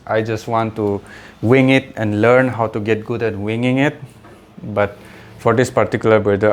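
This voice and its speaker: A man in his thirties from India, a native Adi speaker, talking in a rambling, reflective vlog monologue full of fillers and restarts. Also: on the low side. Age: 30s. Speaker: vlogger.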